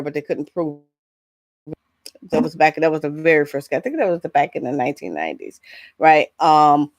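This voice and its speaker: A woman with a lowish voice talks casually as a vlog host. She gives blunt opinions in a rambling, stop-and-start way, often breaking off into asides. The sound is dry and close, with very little room to it. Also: dry recording. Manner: halting, rambling, interrupts herself with asides; blunt, incredulous rhetorical questions. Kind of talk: casual opinionated entertainment news commentary.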